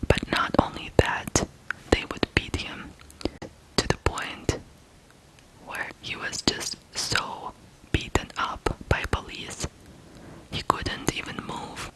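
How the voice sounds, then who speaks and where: An ASMR host from Ukraine telling a true crime story in a hushed, deliberate whisper. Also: poor audio.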